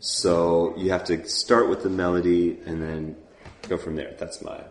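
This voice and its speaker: A man's voice: deep voice, level tone, measured pace, echoey room, slight background noise. A man who leads worship, talking casually and loosely about song keys, with many fillers. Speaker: worship leader teaching. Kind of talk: casual teaching talk.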